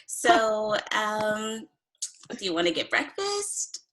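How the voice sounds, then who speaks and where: Bright, insecure young woman, acted by a woman. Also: mid-range voice, big pitch swings, slow, exaggerated voice, low-quality recording. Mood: hopeful, awkward. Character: thirty-year-old woman, smitten but insecure one-night date. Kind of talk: comedic script table read.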